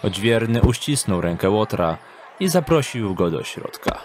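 Lowish-voiced male story narrator. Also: sing-song, moderate pace, very noisy. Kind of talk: scripted audiobook narration of an audio drama. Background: background music and street ambience.

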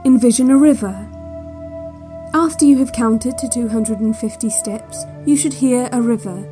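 A woman playing a calm, controlling relaxation tape instructor in an audio drama, measured and soothing with a quietly ominous air, giving guided instructions. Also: a little high, natural voice. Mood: soothing, hypnotic. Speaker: narrator of relaxation cassettes. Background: new-age music.

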